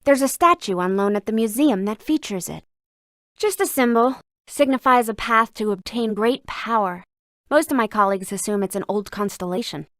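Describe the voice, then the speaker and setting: An actress voicing a brisk, learned museum curator in a video game, speaking in an articulate, scholarly and sardonic way. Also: female voice, medium pitch, fairly bright tone, no room sound, clean studio sound, natural voice. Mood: matter-of-fact. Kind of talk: scripted video game dialogue.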